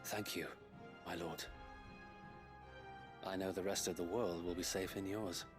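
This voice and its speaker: An actor playing an earnest, devoted knight in a video game, humble and resolute, speaking solemnly and formally. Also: male voice, deep voice, fairly even intonation, a little slow. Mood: humble, loyal. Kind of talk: video game character dialogue. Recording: game music behind the voice.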